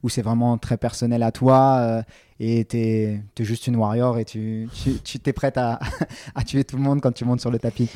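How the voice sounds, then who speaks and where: Mellow-voiced male sports interviewer. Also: mid-range voice, flat delivery, normal speed, smooth, no room sound, studio quality. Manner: friendly, rambling questions with restarts.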